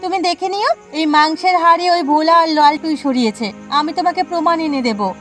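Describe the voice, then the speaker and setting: Woman putting on a nosy cartoon neighbour lady, super high voice, pushy n suspicious, bit of music. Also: moderate pace, a bit bright. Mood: insistent. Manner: insistent, prying. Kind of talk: cartoon dialogue acting.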